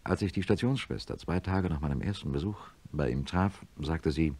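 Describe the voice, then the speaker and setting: An actor playing a reflective professor who narrates a radio play in the first person, soberly, in a deep, husky voice. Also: male voice, normal speed, darkish tone, exaggerated voice. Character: reflective male professor recounting his patient's story.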